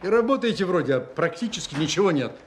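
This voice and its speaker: Curt, stern army general. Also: male voice, high voice, big pitch swings, fast, slight background noise. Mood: angry, reproachful. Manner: curt, commanding, interrogating. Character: stern, demanding Soviet army general, impatient and dissatisfied. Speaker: actor in an audio drama. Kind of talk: Russian radio play acting.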